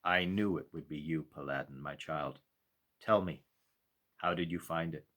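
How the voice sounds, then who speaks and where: A man plays a wise, big-hearted storybook father figure with a low voice. He speaks solemnly and gently, in measured phrases. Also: exaggerated voice. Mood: calm, knowing.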